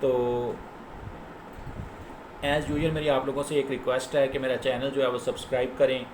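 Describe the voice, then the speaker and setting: A man's voice: medium pitch, little pitch movement, normal speed. A man with a Pakistani accent giving an informal law lecture as a lawyer, explaining step by step, repeating phrases and mixing in English legal terms. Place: indoors, office. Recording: echoey room with clear background noise.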